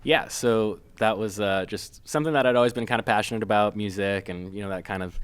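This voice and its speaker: A man from Massachusetts, a filmmaker guest, talking casually with many pauses, fillers and restarts. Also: fairly low pitch, clear, resonant tone. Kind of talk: casual interview.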